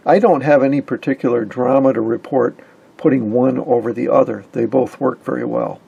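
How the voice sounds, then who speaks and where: A man's voice: medium pitch, moderate pace, creaky, mellow, breathy edge, dull audio. A man in his fifties giving an informal technical explanation as a hobbyist host. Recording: faint background noise.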